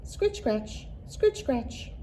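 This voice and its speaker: A woman reads a children's picture book aloud in an expressive, deliberate storytelling manner, leaning into rhythmic phrases. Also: sits high, slight background noise. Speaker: children's librarian and story reader.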